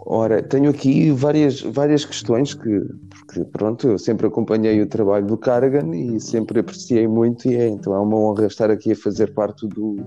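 Soft, dark-toned man, polite interviewer, faint guitar under him. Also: on the low side, fairly even intonation, normal speed, few pauses, muffled recording. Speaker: podcast interviewer. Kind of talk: asking interview questions.

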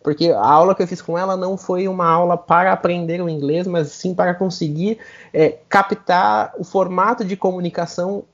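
A man with a Brazilian accent co-hosting a podcast, telling personal stories in a chatty, animated way. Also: sits high, moderate pace. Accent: Brazilian Portuguese. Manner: chatty, animated storyteller, often adds "né?". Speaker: podcast co-host. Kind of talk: casual chat, personal anecdote. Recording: dull lo-fi sound.

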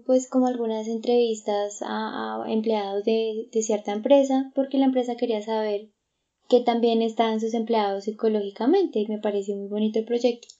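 A woman's voice: fairly high pitch, steady tone, normal speed, mellow, dull audio. A woman, chatting reflectively with many fillers and restarts. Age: 20s. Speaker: guest. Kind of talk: casual conversation.

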